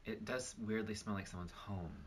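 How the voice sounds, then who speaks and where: A man's voice: lowish voice, moderate pace, slight background noise. A young man co-hosting a perfume review, chatting casually with dry humor. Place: cluttered indoor room with bookshelves.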